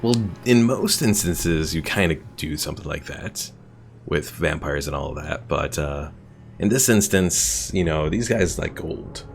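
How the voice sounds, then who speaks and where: A man's voice: rumbling low, animated, measured pace, gravelly, a little hiss or hum. A man giving casual livestream commentary on a game and talking with his chat as host. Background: game music.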